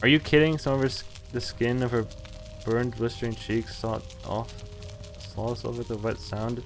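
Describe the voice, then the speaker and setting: A man's voice: mid-range voice, fairly even intonation, moderate pace. A man streaming a game, reading visual novel text aloud and commenting in a casual, sweary way. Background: faint game music.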